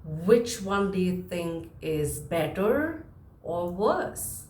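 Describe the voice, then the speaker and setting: A woman presenting a spoken lesson to viewers as a communication coach, emphatic and deliberately varying her speed to stress points. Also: on the low side, big pitch swings, darkish tone. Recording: roomy, echoing room sound.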